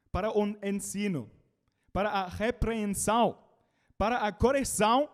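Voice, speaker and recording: British male preacher, learner Portuguese, high animated voice, unhurried delivery. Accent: British English. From Britain. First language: English. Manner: animated, calls out to the congregation.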